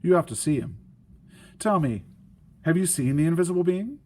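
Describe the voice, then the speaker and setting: A man's voice: fairly high pitch, lively intonation, normal speed, warm tone, pitched above the performer's own voice. A man voicing a stern, shrewd woman guardian in a storybook, firm and questioning. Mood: challenging.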